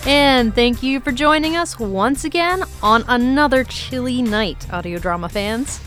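A woman's voice: on the high side, a little slow, fairly bright tone, soft background music. A woman hosting a show, reading a scripted introduction. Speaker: podcast host.

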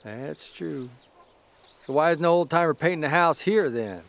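A man's voice: a little high, expressive, normal speed, vocal fry. A man playing a good-natured grown son who teases his mother. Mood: easygoing. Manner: teasing and easygoing.

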